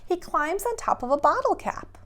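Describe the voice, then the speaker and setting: A woman's voice: very high voice, very animated, normal speed. A woman preschool teacher speaking to young children in simple, guiding phrases, as in a read-aloud or lesson. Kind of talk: expressive picture-book read-aloud and children's drawing lesson.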